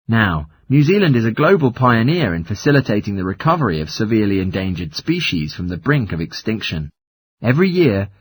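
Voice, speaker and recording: A man reading scripted listening test dialogue and lecture in a formal, measured, articulate way, with a slightly husky voice. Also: mid-range voice.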